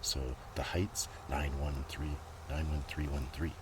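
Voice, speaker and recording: A man giving a quiet, rambling ASMR show-and-tell, murmuring unhurriedly and drifting in and out of a whisper. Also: extremely low pitch, flat delivery, unhurried. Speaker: ASMR video host. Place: outdoors in a gentle breeze. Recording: clear outdoor ambient noise. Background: outdoor ambience, breeze.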